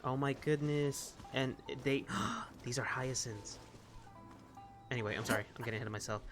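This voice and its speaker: Male gaming host, mid-range voice, casual and excitable, game music clearly behind. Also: mid-range voice, moderate pace. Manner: rambling, excitable, reacting aloud. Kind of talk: casual let's-play commentary.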